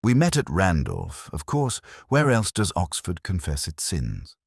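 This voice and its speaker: A man with an extremely low voice narrates as a world-weary, middle-aged detective inspector. His delivery is reflective and literary, with wide melodic swings in pitch. The sound is dry and close, suited to an audio drama. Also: wildly swooping pitch, creaky, breathy edge, no room sound. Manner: literary, measured, reflective, wry. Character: weary, introspective male English police inspector, middle-aged.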